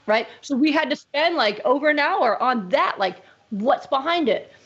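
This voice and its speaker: A middle-aged woman physician, a guest in a casual interview, talking in quick emphatic fragments with many "like"s and "right?"s. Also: high-pitched, expressive, fairly bright tone, highs cut off.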